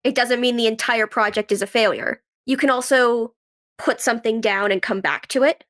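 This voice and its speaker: Higher-voiced woman in casual conversation. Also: normal speed, fairly bright tone. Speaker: podcast guest, writer and actress.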